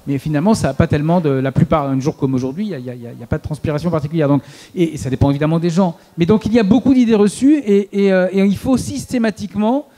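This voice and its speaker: A man speaking on a conference panel, rapid and stumbling over repeated words. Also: a little high, mellow.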